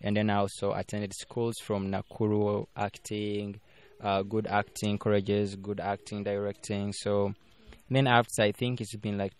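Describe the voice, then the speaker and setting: A young man from Uganda, a filmmaker guest, chatting casually in an interview, with lots of fillers and restarts. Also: on the low side. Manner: rambling, frequent fillers and restarts.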